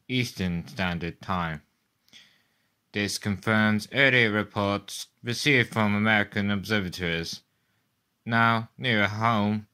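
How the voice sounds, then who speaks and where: A man playing a sober studio newsreader in a radio drama, reading bulletins in a formal, deliberate way. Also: fairly low pitch, pushed and bright. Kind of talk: 1930s radio news bulletin acting.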